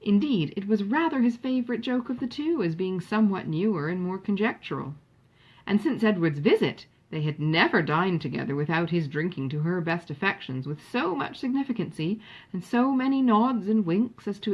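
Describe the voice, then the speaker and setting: A woman narrates a classic novel with animated, rising and falling intonation. Her voice is mid-pitched with a husky edge. The recording sounds slightly muffled. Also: moderate pace. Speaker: audiobook reader. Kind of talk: expressive literary narration.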